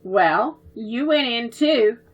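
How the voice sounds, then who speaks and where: A woman's voice: fairly high pitch, animated, slow pace, muffled recording. Woman doing a brash, bossy little girl. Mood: defiant. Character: bold, impulsive little girl.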